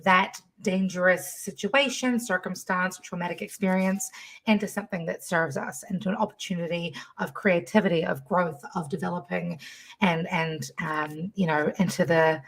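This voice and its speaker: A woman from New Zealand with a New Zealand accent, a musician answering interview questions in an animated, playful way. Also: fairly low pitch, normal speed, slight background noise. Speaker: musician and guest.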